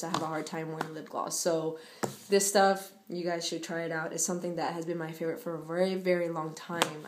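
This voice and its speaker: Female beauty vlogger, 20s, voice a little on the low side, informal, chatty, emphatic delivery. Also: background noise. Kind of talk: casual chatty product review to camera. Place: living room at home, indoors. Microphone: camera or webcam at arm's length.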